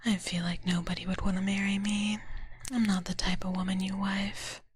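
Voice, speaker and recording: A young woman whispering softly to her viewers in a drowsy, teasing chat, tired and a bit out of it. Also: medium pitch, flat delivery, moderate pace, a little hiss or hum. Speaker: streamer.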